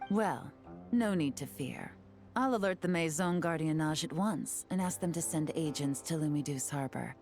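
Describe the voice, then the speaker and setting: A woman's voice: low-pitched, a little mellow. A woman playing a cool, confident and shrewd intelligence agent in a game, speaking in a composed, assured way. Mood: smoothly reassuring. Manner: composed, assured, measured explaining. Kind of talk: game character dialogue. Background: faint music.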